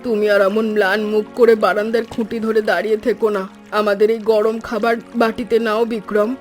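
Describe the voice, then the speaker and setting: A woman plays a compassionate elderly village wife in a cartoon. Her voice sits at a middle pitch, homely and gently scolding, with music underneath. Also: level tone, a little fast, natural voice. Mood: pitying, caring. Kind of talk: Bengali cartoon story voice acting. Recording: music behind the voice.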